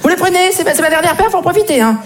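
A man voicing a pushy, mannered shoe salesman who flatters and insists in polite sales patter, in a stand-up sketch. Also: very high voice, rapid-fire. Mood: pushy, insistent.

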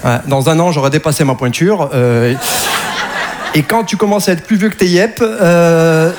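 Stand-up comic, man in his 40s, high voice, playful crowd banter on stage. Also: normal speed, noisy background. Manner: chatty, playful with the audience. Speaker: stand-up comedian. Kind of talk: stand-up comedy set. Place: comedy club stage.

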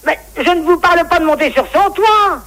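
A woman's voice: extremely high pitch, brisk, fairly bright tone. A woman complaining on a phone call in an exasperated, scolding manner.

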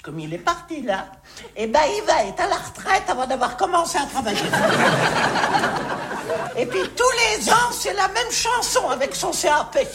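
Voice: extremely high pitch, very animated, normal speed, loud music behind the voice, heavily acted. A performer playing a fussy old country mother who nags and scolds her grown son in a comedy radio sketch. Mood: nagging. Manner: nagging, gossipy, bursts into shouts. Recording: noisy broadcast recording.

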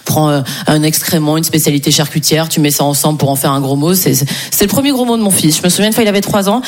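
A woman's voice: sits low, quick pace, a little mellow. A woman in her forties from Switzerland performing a stand-up monologue on stage, sardonic and conversational, often checking in with questioning tags. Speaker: stand-up comedian. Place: live stage before an audience.

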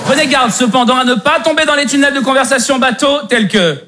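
A man's voice: very high voice, brisk, background noise. A man putting on a pompous male reality-show game host, announcing challenges theatrically.

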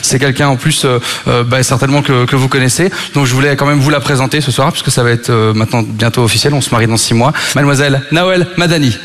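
Fast-talking man joking on stage. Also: mid-range voice, a bit bright, slight background noise. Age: 30s. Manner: teasing, quick banter with laughs. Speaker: stand-up comedian. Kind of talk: stand-up comedy sketch on stage. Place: live show before an audience.

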